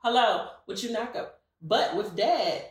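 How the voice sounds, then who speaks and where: A woman in her thirties chatting casually about her kids, blunt and teasing with quick comebacks. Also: on the high side, expressive, reverberant. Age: 30s. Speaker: mom on a podcast panel. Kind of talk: casual chat.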